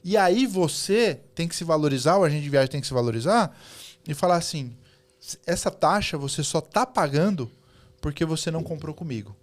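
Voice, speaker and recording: Chatty podcast host, persuasive. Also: male voice, animated, brisk. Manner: chatty, teasing, persuasive pitch to listeners. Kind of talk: casual podcast interview.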